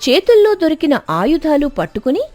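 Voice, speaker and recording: High, animated woman storyteller. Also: a little fast, dry recording, faint music behind. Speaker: story narrator. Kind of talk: dramatic scripted narration.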